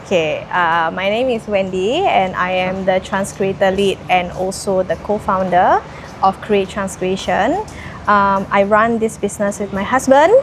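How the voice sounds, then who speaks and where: A woman's voice: medium pitch, measured pace. A woman from Indonesia, answering interview questions in a chatty, explanatory way. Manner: chatty, explanatory, peppers talk with "you know". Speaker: interview guest, business co-founder. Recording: noticeable background noise.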